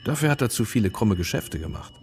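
A man's voice: lowish voice, lively intonation, quick pace, darkish tone, natural voice. An actor playing a worldly, wary older father in a crime radio play, speaking dryly and cautiously. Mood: guarded.

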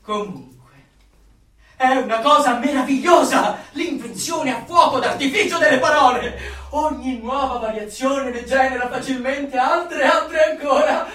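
An actor in a dystopian radio play playing a zealous, exuberant dictionary-maker of the regime, with a declamatory, fanatical delivery. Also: male voice, extremely high pitch, unhurried, exaggerated voice. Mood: ecstatic. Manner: declamatory, fanatical, swinging from hushed to shouting. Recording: very echoey room, far from the mic.

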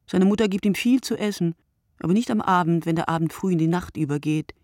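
A woman playing a brooding, solitary writer in a radio play monologue, speaking deliberately and poetically, often dropping to a breathy hush. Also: lowish voice, no echo, clean studio sound, close to an everyday voice. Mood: hushed, reflective. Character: wakeful solitary night writer, a woman, brooding and word-obsessed.

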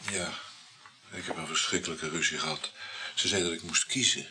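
An actor in a radio play voicing a probing, jealous married man carrying on an affair, with a husky voice. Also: extremely low pitch, steady tone, moderate pace, crowd noise. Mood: subdued, earnest. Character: married male lover, insecure, probing, jealous.

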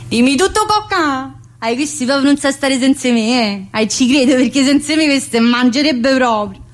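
A woman's voice: high-pitched, animated, moderate pace. A woman playing a cheeky, needling female prison inmate in a colloquial theatre monologue, with a southern Italian accent and a teasing, familiar manner. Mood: playful, mocking. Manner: teasing, familiar, full of rhetorical questions. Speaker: stage actress performing a monologue. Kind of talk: theatrical monologue in colloquial dialect-tinged speech.

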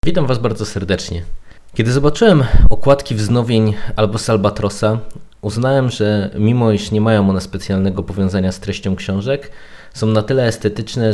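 A man's voice: on the low side, darkish tone. A man gives prepared commentary as a podcast guest. His wording is formal, with a wry edge.

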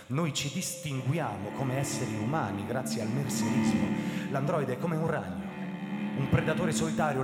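Man acting a brooding detective over loud music, echoey. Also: medium pitch, natural voice. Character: brooding male police android hunter narrating his story. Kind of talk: dramatic first-person radio drama narration. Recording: echoey hall reverb, loud music underneath. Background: loud live music.

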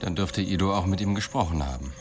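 Ein Schauspieler spielt im Hörspiel einen gefassten Polizeiermittler, der bedächtig und forschend fragt. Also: male voice, deep voice, faint music behind, close to an everyday voice. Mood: calm, probing. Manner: measured, probing questions. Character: composed, probing male police detective. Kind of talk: radio drama dialogue.

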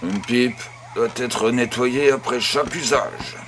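A man's voice: mid-range voice, slow, close to an everyday voice. An actor playing an affectionate old farmer grandfather, patient and encouraging, in a French audio drama. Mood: storytelling.

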